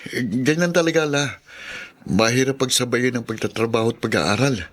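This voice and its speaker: A man's voice: mid-range voice, moderate pace, fairly bright tone, natural voice. An actor plays a young man working to pay for his studies. He talks earnestly and questioningly, in the natural dialogue style of a radio drama. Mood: earnest, resolved. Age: young adult. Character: earnest, doubtful young working student, homesick grandson. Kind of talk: Filipino radio drama acting.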